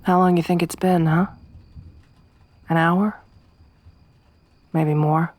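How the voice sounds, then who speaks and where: A woman's voice: lowish voice, little pitch movement, slow pace, no echo. A woman playing a wry, tough survivor girl. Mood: relaxed. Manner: soft-spoken, wry, dry humor; hushed whispering when hiding. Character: tough young woman survivor around thirty. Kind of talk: ASMR roleplay.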